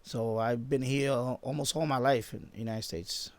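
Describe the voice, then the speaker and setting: A man of fifty with a New York accent talks in a mid-pitched, gravelly voice with plenty of fry. He rambles informally, circling back over his phrases as a live streamer does. The sound is dry, with little room in it. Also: fairly even intonation, normal speed, no echo. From born in Puerto Rico, grew up in the Bronx, New York. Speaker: live stream host, shortwave radio hobbyist. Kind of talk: casual live stream chat. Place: basement at home.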